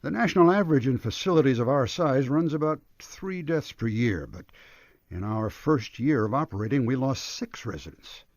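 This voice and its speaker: An actor playing an anxious, earnest and formal retirement home director in a radio drama. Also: male voice, medium pitch, expressive, normal speed, no echo, studio quality, natural voice. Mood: earnest, worried. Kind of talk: radio detective drama acting. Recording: dull lo-fi radio broadcast.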